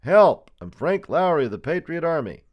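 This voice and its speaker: A man voicing a desperate young patriot soldier held prisoner in an audiobook story, shouting and pleading urgently. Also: lowish voice, expressive, brisk, lo-fi sound.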